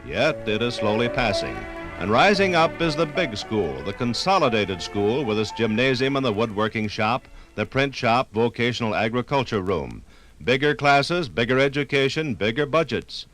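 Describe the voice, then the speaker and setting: Casting: male American 1950s radio documentary narrator, medium pitch, very varied inflection, measured and oratorical. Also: lively intonation, fairly bright tone, faint music behind.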